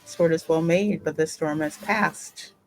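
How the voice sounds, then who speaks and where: A woman doing casual, playful gameplay commentary and roleplaying the heroine's lines in an expressive, colloquial way. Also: sits low, measured pace, a little hiss or hum, poor audio. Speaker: gaming streamer voicing the player heroine. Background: faint music.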